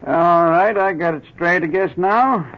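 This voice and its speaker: A man's voice: sits high, a little slow. An actor playing a plain-spoken working-class trucking clerk who hesitates and fumbles over his words in a 1940s radio drama. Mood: folksy. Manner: hesitant, full of uhs, ungrammatical. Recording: muffled old radio broadcast.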